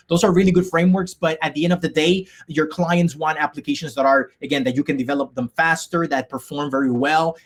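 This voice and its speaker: Upbeat man from the Dominican Republic. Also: fairly high pitch. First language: Spanish. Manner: upbeat, many fillers and restarts. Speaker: workshop instructor. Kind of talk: live online coding workshop. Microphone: video call.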